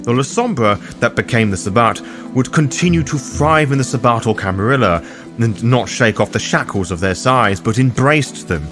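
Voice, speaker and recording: Man, scripted explainer narration, mid-pitched voice, lore guide host, prominent music behind. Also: animated, normal speed. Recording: voice over loud music bed.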